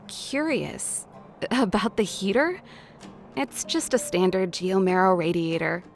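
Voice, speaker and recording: A woman's voice: medium pitch, slow, vocal fry, faint music behind. A woman voicing a self-assured young female mechanic in a video game, casual, teasing and nonchalant. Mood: amused.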